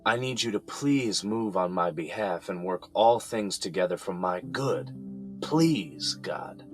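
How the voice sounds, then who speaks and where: An actor playing a grown son who is in jail and prays for help, in an earnest, halting way with sighs. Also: male voice, deep voice, expressive. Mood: pleading. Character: adult son jailed, praying for release. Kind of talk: audio drama acting. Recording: music under the voice.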